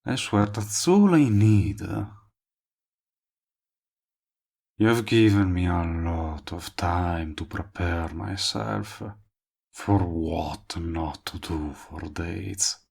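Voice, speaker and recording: A man acts out a lovestruck villain in a romantic audio roleplay. His voice is very low and full of gravelly fry, and he takes his time with every line. He sounds smug and teasing, yet tender, as he courts the listener up close. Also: a little mellow, heavily acted. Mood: flirtatious. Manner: slow, murmuring, teasing. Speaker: voice actor.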